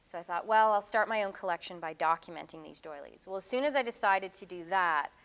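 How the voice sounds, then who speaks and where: A woman's voice: fairly low pitch, fairly quick, creaky, a bit bright, clear, resonant tone. A middle-aged woman giving an artist talk about her work, speaking casually and thoughtfully with frequent ums and restarts. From Canada. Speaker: visiting artist giving a lecture.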